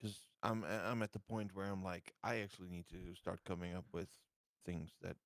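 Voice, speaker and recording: A man's voice: deep voice, flat delivery, normal speed, no echo, clean studio sound. A man chatting casually as a player in a tabletop game.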